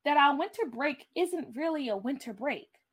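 A woman's voice: sits high, lively intonation, moderate pace, fairly bright tone. A woman voicing a casual, musing schoolboy in a story, slangy and a little hesitant. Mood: puzzled.

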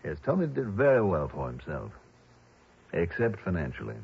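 An actor playing an earnest, worried insurance man in a 1960s radio drama, speaking gravely and courteously with a husky voice. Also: low-pitched, huge pitch swings, normal speed, full character voice. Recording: muffled old radio broadcast.

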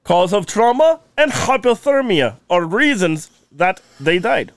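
Podcast co-host, man, high lively voice, fake Russian accent for laughs, dry close mic. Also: dry recording. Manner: mock Russian accent, put on throughout; joking, hammy, deliberate phrasing. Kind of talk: casual podcast chat.

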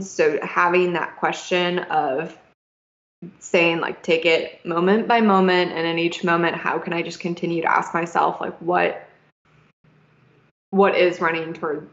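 A young woman with a fairly low voice talks things through slowly and reflectively, pausing and restarting often. She sounds like she is in an echoey room, and the audio is a bit dull and lo-fi. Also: fairly bright tone. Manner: thoughtful, halting, many fillers and restarts. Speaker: podcast host. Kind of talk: reflective casual conversation.

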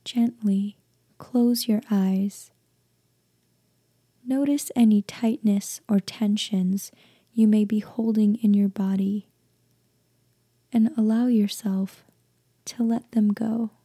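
Female meditation guide, soft half-whisper, very warm and relaxed tone, gentle slow delivery, close dry sound. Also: medium pitch, slightly rough, no echo. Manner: gentle, hushed, deliberate with long pauses.

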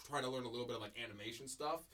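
A man's voice: mid-range voice, racing, a bit bright, recorded from a distance. A man co-hosting a podcast in casual chat, rambling with many stammered restarts. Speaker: podcast co-host.

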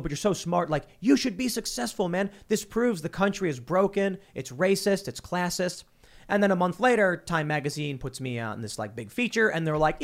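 A man from Chicago in his thirties hosting a podcast, telling stories quickly with lots of restarts. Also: on the high side. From Chicago, USA. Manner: fast, animated storytelling with many restarts. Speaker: podcast host. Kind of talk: casual conversation.

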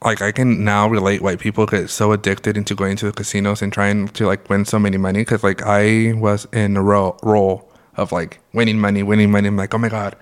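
A young man co-hosting a casual podcast, talking with a raspy just-woke-up voice and joking and laughing as he tells stories. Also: lowish voice, flat delivery, fairly quick. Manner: joking, laughs often, rambling storyteller. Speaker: podcast co-host. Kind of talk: casual chat.

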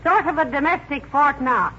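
An actress playing a sensible, polite housewife in a 1940s radio comedy, with a dry, gently chiding manner. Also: female voice, high voice, moderate pace. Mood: wry, polite. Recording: muffled, very noisy old radio broadcast, audience.

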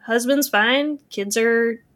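Higher-voiced woman chatting casually. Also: slow, fairly bright tone. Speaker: podcast guest. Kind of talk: casual chat.

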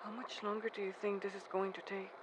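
An actor playing a gentle, anxious young man in an audio drama, speaking hesitantly. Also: high-pitched, flat delivery, fast talker, background noise, natural voice. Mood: casual, uneasy. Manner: hesitant, stammering.

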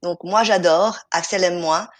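A woman's voice: fairly low pitch, a little slow. A woman co-hosting a podcast, chatting casually with a stuffy cold and many hesitant fillers. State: has a cold. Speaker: podcast co-host. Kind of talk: casual chat.

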